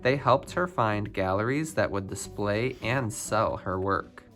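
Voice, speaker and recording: A man reading a children's picture book biography aloud, phrase by phrase with expressive emphasis. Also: lowish voice, measured pace, faint music behind. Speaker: storybook reader.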